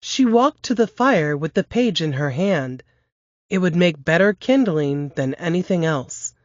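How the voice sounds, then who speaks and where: A woman reading aloud from her novel in a steady, measured narrating voice. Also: fairly low pitch, no room sound, highs cut off. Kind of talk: audiobook-style novel reading.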